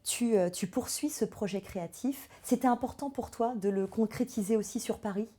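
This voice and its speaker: A woman's voice: a little high, normal speed, warm tone. A woman hosting an interview, asking friendly questions with frequent hesitations. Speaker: host.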